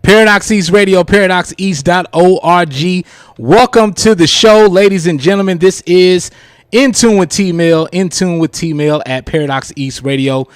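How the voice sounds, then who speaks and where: A man's voice: sits high, normal speed, clean tone, no room sound. A man hosting a casual live radio show, with showy introductions, on a close studio mic. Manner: showy introductions with repeated catchphrases.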